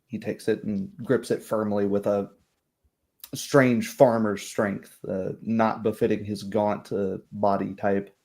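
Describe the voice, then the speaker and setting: A man narrating a tabletop roleplaying game as game master, improvising in a halting, thinking-aloud way. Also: fairly low pitch, flat delivery, gravelly, a little mellow.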